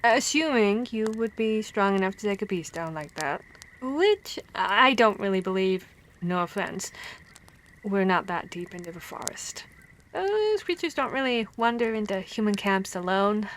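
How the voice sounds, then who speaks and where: A woman playing a female vampire hunter who scolds and cares for her comrade, in an expressive, stammering ASMR roleplay. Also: mid-range voice, measured pace, a little hiss or hum, lightly acted, low-quality recording. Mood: teasing, skeptical.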